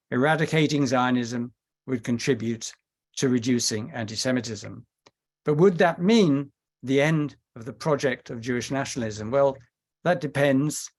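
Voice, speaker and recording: A man who wrote a book on the subject, delivering prepared closing remarks to a panel in a measured, argumentative way. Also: medium pitch. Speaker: panel speaker, author.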